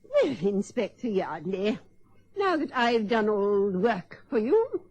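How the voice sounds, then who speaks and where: A haughty, husky society lady sleuth. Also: medium pitch, big pitch swings, a little mellow, no room sound, lo-fi sound. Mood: exasperated, brisk. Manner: haughty, brisk, condescending, precise. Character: imperious, sharp-witted society lady and amateur sleuth. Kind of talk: radio play acting.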